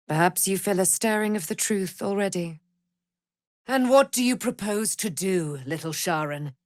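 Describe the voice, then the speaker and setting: A woman playing a slow, grand immortal warrior woman. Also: fairly low pitch, no echo, clean studio sound, natural voice. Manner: grand, solemn, formal archaic phrasing. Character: proud immortal warrior woman, noble holy daughter of a moon goddess. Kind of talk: theatrical fantasy game voice acting.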